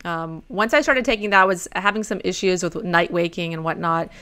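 A woman in her forties hosts a podcast from her home office, speaking close to a condenser mic. She is friendly and casual, with easy laughs and plenty of ums. Her voice sits a little low but sounds bright and crisp. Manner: friendly, laughs easily, fills pauses with um and uh. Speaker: podcast host. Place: home office over a video call.

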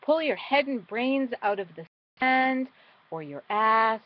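Slow, dramatic woman reciting spoken-word poetry. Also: fairly high pitch, animated. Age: middle-aged. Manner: dramatic, deliberate phrasing with emphatic swells and hushed drops. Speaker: poet. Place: small indoor room at home. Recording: dull, lo-fi webcam sound.

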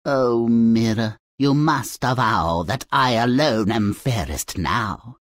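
A woman's voice: a bass voice, takes it slowly, dry recording, studio quality, exaggerated voice. A woman voicing a vain, wicked fairy-tale queen in a game, imperious and theatrical. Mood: smug, haughty.

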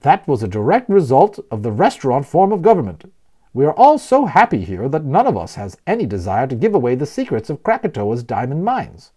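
A man's voice: mid-range voice, wildly swooping pitch, fairly quick, mellow, husky. A man voicing a genial, talkative man who explains things proudly and playfully as a guide in a storybook. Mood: proud, informative. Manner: explanatory, emphatic, playfully wordy.

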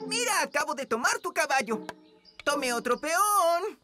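A woman's voice: sits high, animated, a little slow, music in the background. A woman voicing a confident young cat in a Latin American Spanish cartoon dub.

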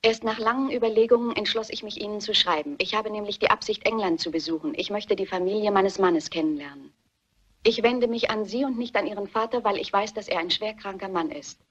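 Husky, earnest actress as an anxious daughter. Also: female voice, mid-range voice, fairly quick, lightly acted. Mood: measured reading. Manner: earnest, dramatic. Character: anxious, secretive daughter of a troubled family. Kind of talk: German radio mystery play acting. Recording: muffled, dull radio play recording.

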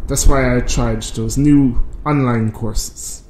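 A man's voice: medium pitch, steady tone, slow pace, a little mellow, slight background noise, close to an everyday voice. A man playing a genial, obliging Irish village priest in an amateur radio drama. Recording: roomy, echoing sound.

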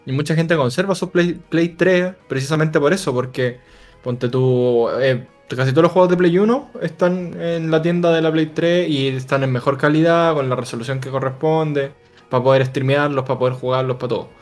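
A Chilean man co-hosting a video game news stream, calmly explaining news and agreeing often with his co-host. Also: fairly high pitch, moderate pace, soft background music. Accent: Chilean Spanish. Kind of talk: casual panel discussion.

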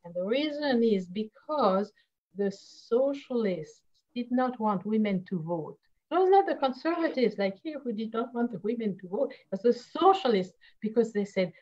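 A woman from France with a French accent, a history professor speaking on an online panel, pausing often with fillers and restarts. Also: medium pitch, a little mellow, highs cut off. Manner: halting, many fillers and restarts. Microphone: video call.

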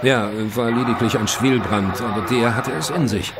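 An actor playing a grudging, matter-of-fact police inspector in a German crime audio drama, dry and businesslike. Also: male voice, mid-range voice, normal speed, background noise, natural voice. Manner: dry, businesslike, disdainful toward outsiders.

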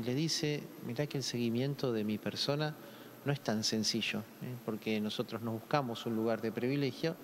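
Argentine priest preaching in an echoey church. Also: male voice, medium pitch, slight background noise. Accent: Rioplatense Spanish. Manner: gentle, conversational preaching with frequent "eh" fillers. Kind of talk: gospel reading and homily. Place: large church, indoors. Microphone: lectern microphone.

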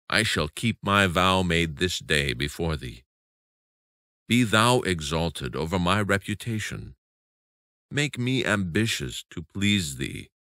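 A man reading a devotional audiobook as scripted narration, in a solemn, measured and deliberate manner. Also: low voice, pushed and bright, dry recording, studio quality.

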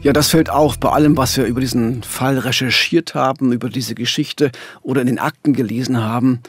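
A man's voice: medium pitch, fairly even intonation, brisk, breathy edge, soft background music. A man co-hosting a true-crime podcast, telling the story at a close studio microphone. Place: small radio studio.

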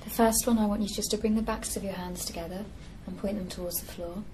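A young woman talks a patient through a physical exam step by step. Her voice is mid-pitched, and there is clear noise behind it. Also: fairly even intonation, fast talker, mellow. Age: young adult. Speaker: clinician examining a patient. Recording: noisy office room recording.

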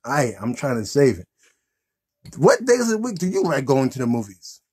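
A man's voice: medium pitch, big pitch swings, normal speed, darkish tone, breathy edge. A man in his thirties hosting a children's puppet show in casual, improvised chat.

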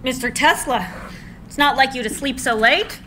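Hotel maid, dutiful and anxious, read by an actress at a script reading, high and bright. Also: female voice, normal speed, slight background noise. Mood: calling, worried. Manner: calling out, concerned. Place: meeting room with audience.

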